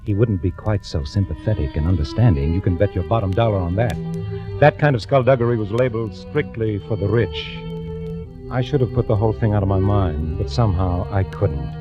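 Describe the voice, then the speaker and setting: A meek, discontented clerk played by a male actor confides his story in a low, wry, brooding voice. It is old-time radio drama, muffled, with music clearly behind him. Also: brisk, lightly acted. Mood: resentful, dreamy. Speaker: narrator and lead actor. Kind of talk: 1940s radio drama acting.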